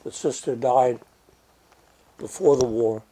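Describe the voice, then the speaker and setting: An older man from Germany, speaking slowly and reflectively in an oral history interview, with frequent pauses and fillers. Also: medium pitch, fairly even intonation, dark tone, rough recording.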